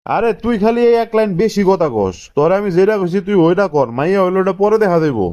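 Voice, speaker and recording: A man's voice: sits high, lively intonation, quick pace, a little mellow. A man voicing a domineering, calculating party leader in a cartoon, commanding in colloquial Bangladeshi speech. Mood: impatient. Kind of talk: cartoon dialogue.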